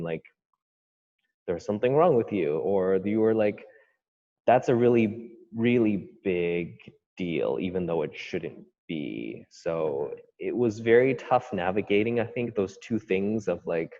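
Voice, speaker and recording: A man with a notably deep voice talks through his upbringing in a halting, reflective way, often stopping to search for words. The sound is dull and carries a long reverb. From Canada. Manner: hesitant, thoughtful, many fillers. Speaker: author guest. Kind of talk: podcast interview.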